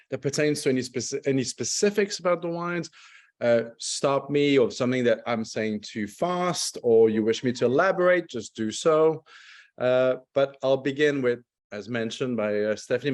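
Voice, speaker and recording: A man's voice: medium pitch, darkish tone. A middle-aged man from Montreal, a native French speaker whose accent has Australian and South African traces, leading a wine tasting over a video call with loose, chatty, self-correcting explanations. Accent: French Canadian with Australian and South African traces. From Montreal, Canada. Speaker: wine brand ambassador.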